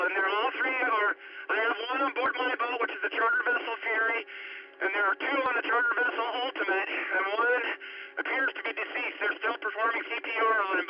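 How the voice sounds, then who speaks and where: A man's voice: sits high, steady tone, brisk. A man speaking as a charter boat skipper over VHF marine radio. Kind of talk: marine radio distress traffic. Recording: muffled, very noisy VHF radio.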